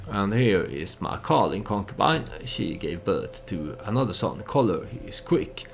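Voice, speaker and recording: Slow, low-pitched man narrating a game. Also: very animated, vocal fry. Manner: halting, thinking aloud, speaking as his character. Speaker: let's play host. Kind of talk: game commentary with storytelling, unscripted.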